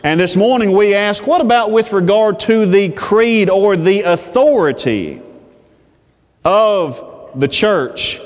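Slow, high-voiced preacher in a church. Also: male voice, expressive. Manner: slow, deliberate pulpit delivery. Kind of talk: sermon. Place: church sanctuary with reverb. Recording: long church reverb.

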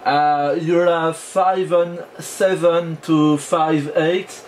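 A man with a French accent making a ham radio contact, speaking haltingly and deliberately as an amateur operator. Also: fairly high pitch, steady tone, darkish tone, slight background noise. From France. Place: indoor home radio station.

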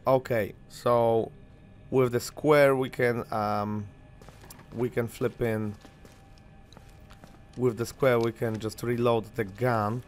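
A man's voice: medium pitch, steady tone, takes it slowly, pauses often, a little hiss or hum. Young polish guy, first language polish, rambly gameplay chatter on a headset mic, lots of ums. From Poland. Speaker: gaming channel host. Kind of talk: casual game commentary.

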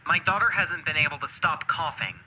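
A measured, worried hotline caller. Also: female voice, low-pitched, sharp tone, a little hiss or hum, rough recording. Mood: concerned. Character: worried parent calling a household hotline about house trouble. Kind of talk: video game voice acting.

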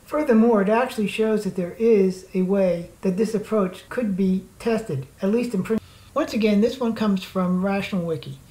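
A woman narrating a scripted slide lecture in a formal, measured reading manner. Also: mid-range voice, warm tone, rough recording.

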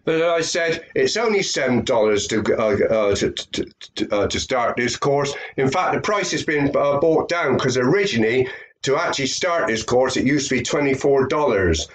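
A man's voice: mid-range voice, lively intonation, moderate pace. An older British man from the UK, nasal from a head cold, giving a rambling webcam talk full of fillers and repeats. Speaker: affiliate marketer. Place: small room indoors.

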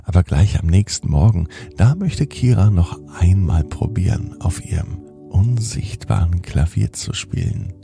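A man narrating a children's bedtime story on a podcast in a slow, soothing manner that sinks to a whisper at the end. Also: deep voice, animated, creaky, music in the background.

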